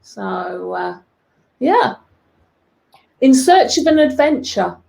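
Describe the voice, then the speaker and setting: A woman's voice: a little high, very animated, slow, creaky, a little mellow, rough recording. An older woman with an English accent, chatting playfully as a guest over a video call from her sewing room. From England. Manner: playful, self-mocking. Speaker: guest quilting teacher. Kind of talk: casual chat.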